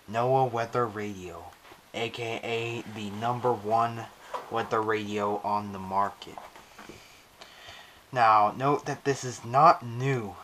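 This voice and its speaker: A man casually narrating a hands-on unboxing video in halting, pieced-together phrases, recorded on an action camera. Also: medium pitch, sharp tone, slight background noise. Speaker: vlogger unboxing a weather radio. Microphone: action camera on head strap, later monopod.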